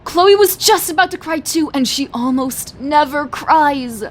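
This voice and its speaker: A woman acting an excitable, chatty best friend in an audio drama, bubbly and sassy with exaggerated emphasis. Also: sits high, unhurried. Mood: concerned, dramatic.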